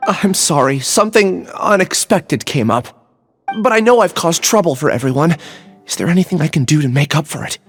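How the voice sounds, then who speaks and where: An apologetic young researcher. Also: male voice, a little high, lively intonation, normal speed, faint music behind. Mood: earnest. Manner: apologetic, soft-spoken. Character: apologetic young male part-time researcher, confused. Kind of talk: game voice acting.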